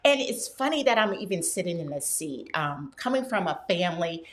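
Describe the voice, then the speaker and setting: A woman's voice: on the low side, very animated, brisk. A woman introducing herself as a panelist, talking informally in a storytelling way. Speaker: panelist, holistic health practitioner. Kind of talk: conversational self-introduction. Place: inside a wellness center.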